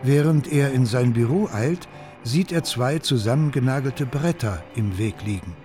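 A man's voice: medium pitch, expressive, moderate pace, darkish tone, soft background music. A man giving sober, factual scripted narration for a true-crime radio documentary.

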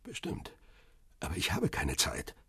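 Crime radio drama lead: guarded, cynical photographer, deep rough-edged voice, deliberate delivery. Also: male voice, lively intonation, dry recording, heavily acted. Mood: curt, irritated. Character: male paparazzo photographer, cool, cynical, guarded first-person narrator. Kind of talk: first-person crime radio drama narration and dialogue.

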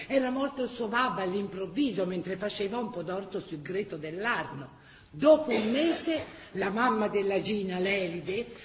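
A woman's voice: medium pitch, a little hiss or hum, lightly acted. An actress playing a Florentine woman who recounts neighbourhood tales in a colloquial, theatrical way. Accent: Florentine Tuscan. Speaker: actress-narrator. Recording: roomy stage echo.

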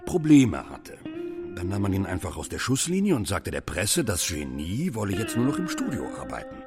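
Radio play: bossy, fed-up band manager, sarcastic, very animated delivery over clear music. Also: male voice, deep voice, exaggerated voice. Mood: scheming, persuasive. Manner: scolding, emphatic, swings from barking to confiding. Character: exasperated, sarcastic, bossy male rock band agent. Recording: loud music behind the voice.

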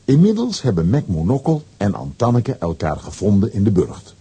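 A man's voice: on the low side, wildly swooping pitch, normal speed, mellow, breathy edge. A man narrating a scripted children's audio drama as a dramatic storyteller.

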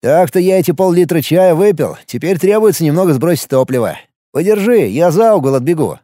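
A man's voice: on the high side, expressive, brisk, dark tone, no room sound, clean studio sound, lightly acted. A man voicing a good-natured, chatty male acquaintance with a casual, friendly manner in an audiobook story. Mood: jovial.

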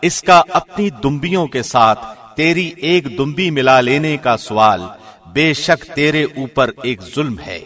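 A man reading a scripture translation from a script in a formal, measured, solemn manner. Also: medium pitch, fairly bright tone. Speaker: radio host reading a translation.

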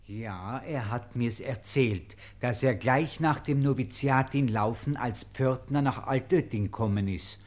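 An actor playing a plain-spoken monk giving earnest testimony in a radio play, reminiscing with a Bavarian accent. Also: male voice, on the low side, darkish tone.

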